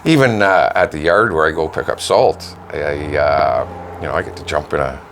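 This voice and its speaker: A man's voice: rumbling low, animated, normal speed, noisy background. A middle-aged man with a Canadian accent, co-hosting a casual chat in a rambling, colloquial way. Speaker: local online radio co-host. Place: home studio.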